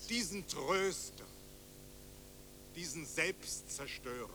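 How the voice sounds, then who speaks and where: A man's voice: a little high, animated, slow pace, poor audio. An actor playing a mournful male friend delivering an imploring, rhetorical eulogy in a radio play. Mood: mournful, solemn.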